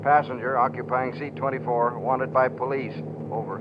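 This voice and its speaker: A clipped, official airport tower radio operator, voiced by a man in a vintage radio play. The recording is dull and muffled, with clear hiss running beneath. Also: mid-range voice, little pitch movement, moderate pace, natural voice. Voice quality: crisp, official radio procedure. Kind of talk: 1940s radio acting. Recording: muffled old radio broadcast with audible hiss.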